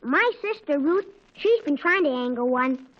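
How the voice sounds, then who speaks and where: Squeaky, swooping pesky little boy. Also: animated, moderate pace. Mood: gossipy excitement. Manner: repetitive, coy baiting. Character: pesky, sly little neighborhood boy, gossipy tease. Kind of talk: 1940s radio comedy acting. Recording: muffled 1940s radio recording.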